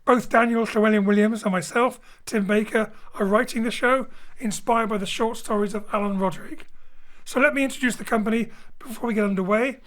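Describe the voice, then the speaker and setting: A man's voice: high voice, fairly even intonation, brisk, fairly bright tone. Man introducing an audio drama show. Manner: friendly, slightly jokey, mixes in Welsh phrases. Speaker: director and co-writer. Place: home studio.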